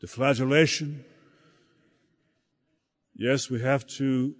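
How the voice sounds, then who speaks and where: A man preaching a sermon in a slow, halting delivery, often repeating words as he searches for the next phrase. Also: mid-range voice, fairly even intonation. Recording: long hall reverb.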